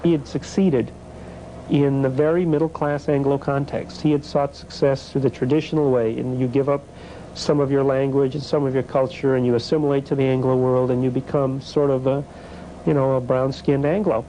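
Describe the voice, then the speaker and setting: A man's voice: medium pitch, flat delivery, normal speed, mellow, slight background noise, poor audio. A man reflecting in a documentary interview, thoughtful and hedging as he talks. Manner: thoughtful, hedging with "sort of" and "you know". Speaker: interviewee commentator.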